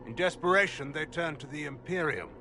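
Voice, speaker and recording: A man's voice: fairly high pitch, lively intonation, brisk, over music. An actor playing a grim, wary male warrior ally in a video game.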